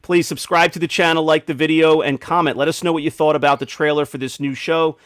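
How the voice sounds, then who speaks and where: A man's voice: fairly high pitch, little pitch movement, quick pace, a bit bright, no echo. Man hosting a chat, close dry sound. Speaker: podcast host. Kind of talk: casual panel chat.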